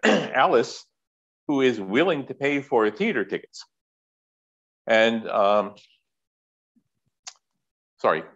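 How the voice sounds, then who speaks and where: An older man giving a keynote lecture from a home office over a headset, speaking in a measured way with pauses and frequent throat clearing. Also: lowish voice. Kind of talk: academic lecture. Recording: dull lo-fi video call audio.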